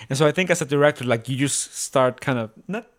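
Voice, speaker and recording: A man's voice: mid-range voice, fast. A man co-hosting a podcast as a theater director, talking reflectively and earnestly in a casual, rambling way. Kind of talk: casual reflective panel chat.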